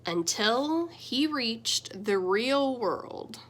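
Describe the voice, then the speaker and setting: A young adult woman reading a children's picture book aloud as a teacher, gentle and expressive, with big swings in pitch and loudness. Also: extremely slow.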